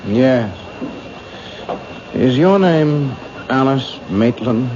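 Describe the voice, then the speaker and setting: An actor playing a sinister young killer who speaks slowly and softly with quiet menace in a 1970s radio drama. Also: male voice, mid-range voice, lively intonation, a crowd in the background. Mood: menacing. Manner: slow, soft, menacing. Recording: dull old radio broadcast, noisy.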